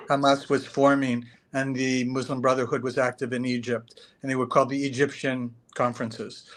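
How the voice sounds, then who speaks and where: A man's voice: on the high side, fairly bright tone. A man from Canada, a podcast guest, giving long explanations full of ums and uhs. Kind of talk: casual conversation.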